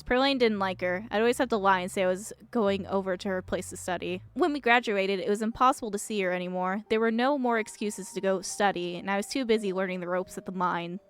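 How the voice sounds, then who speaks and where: Game role: dry, guarded young woman played by a woman, mid-pitched, blunt, sardonic, small-town prickliness. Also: smooth, close to an everyday voice.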